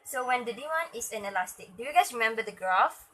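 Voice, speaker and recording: A woman's voice: fairly high pitch, lively intonation, fast talker, fairly bright tone. A woman with a Malaysian accent acting as a teacher in a classroom lesson, explaining and prompting in an encouraging way. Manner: encouraging, frequently praising and prompting. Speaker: teacher in a student-made classroom skit.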